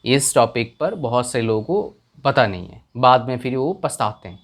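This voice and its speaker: A man's voice: medium pitch, expressive, moderate pace. A young man whose first language is a village tongue, giving earnest career advice in a casual talk, often checking if the listener follows. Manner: earnest, repeats questions to the viewer.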